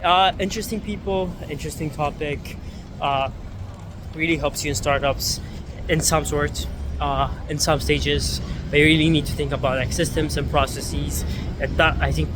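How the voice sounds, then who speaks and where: A young man from Ethiopia, a startup founder, talking casually to camera in a rambling way full of fillers and restarts. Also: fairly high pitch. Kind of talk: casual vlog talk to camera. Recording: city street noise behind.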